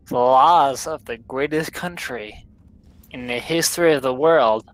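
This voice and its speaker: A man's voice: medium pitch, measured pace, low-quality recording. A young man with a Southern American accent, drunk, chatting while he streams a game, rambling bluntly and swearing. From Southern United States. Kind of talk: casual chat while gaming.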